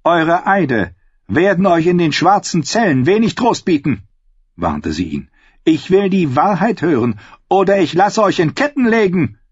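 A cold, scornful queen in an audiobook, firing off sharp, contemptuous questions, as a male reader puts her on. The voice sits a touch high and husky, in a dry, slightly dull recording. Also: sing-song, unhurried, dry recording, heavily acted. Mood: threatening.

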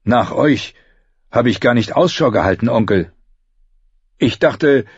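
A man's voice: mid-range voice, expressive, unhurried, husky, no room sound, dull audio, pitched above the performer's own voice. A man voicing a proud, impatient young nobleman in an audiobook. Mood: haughty. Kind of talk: audiobook character voice.

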